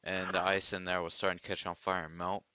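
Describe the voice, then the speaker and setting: A man chatting casually and making a prank phone call in a deadpan, slangy manner. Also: sits low, quick pace, clear, resonant tone, no echo. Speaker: prank caller.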